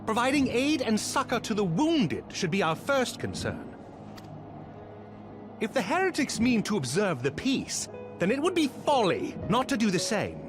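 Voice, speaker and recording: An actor playing a young, assured and dutiful noble elven knight in a fantasy video game, speaking formally and earnestly. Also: male voice, high-pitched, wildly swooping pitch, normal speed, over music.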